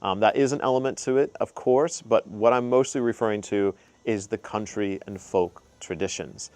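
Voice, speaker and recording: A man's voice: lowish voice, normal speed, darkish tone, dry recording. A young man hosting his own channel, giving heartfelt spiritual advice in a casual, earnest way, with frequent ums and uhs. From United States. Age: young adult. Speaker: channel host.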